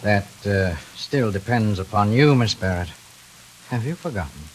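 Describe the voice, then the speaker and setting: An actor playing a menacing intruder in a 1940s radio drama, mock-courteous, deliberate and quietly controlling. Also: male voice, lowish voice, lively intonation, natural voice. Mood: veiled threat. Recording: hissy old radio recording.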